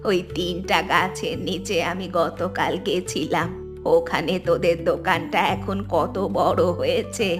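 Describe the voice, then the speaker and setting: A woman voicing a humbled, affectionate elderly mother-in-law in a family cartoon drama, speaking slowly and earnestly. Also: fairly low pitch, fairly even intonation, music in the background, close to an everyday voice. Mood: remorseful. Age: older.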